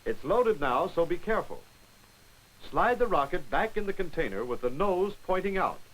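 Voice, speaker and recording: A man's voice: high-pitched, sing-song, a bit bright, poor audio. Man narrating a 1940s training film. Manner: brisk, instructive, wry. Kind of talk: scripted narration. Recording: old 1940s film soundtrack.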